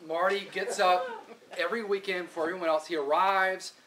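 A man's voice: sits high, steady tone, normal speed, crowd noise. A man, a club organizer, giving an informal tribute speech in an earnest, praising way. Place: indoor function room. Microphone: far camera. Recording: distant, noisy room audio.

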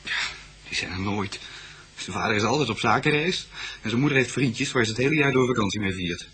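A man's voice: mid-range voice, fairly quick, a bit bright, natural voice. An actor playing a young male student in a Dutch radio police drama, explaining things in a hesitant, informal, faltering way. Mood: uneasy, explaining.

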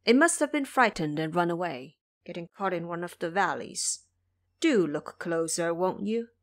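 A woman's voice: on the low side, lively intonation, moderate pace, lightly acted. A woman voicing a timid, fretful male monk, formal and plaintive, in an audio drama. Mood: puzzled, gentle.

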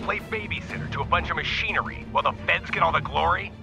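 Casting: rebellious game mercenary, creaky, sarcastic grumbler, fairly high, music behind. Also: male voice, fast. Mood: resentful. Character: disgruntled, rebellious male mercenary. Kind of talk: video game character acting.

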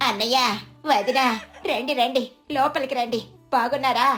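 Cartoon housewife played by a woman, higher register, sly, idle, scolding manner, soft music underneath. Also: fairly even intonation, moderate pace. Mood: welcoming. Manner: chiding, fussing. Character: lazy, wily village wife, doting on relatives.

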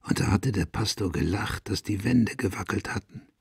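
A man playing a brooding, unhinged madman in a German audio drama, slow and intense, with a husky voice. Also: lowish voice, no echo, clean studio sound, full character voice. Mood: grim.

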